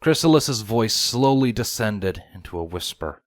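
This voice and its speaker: A man's voice: on the low side, expressive, moderate pace, no room sound, studio quality. A man narrating a scripted story as the narrator of a fan fiction audio drama.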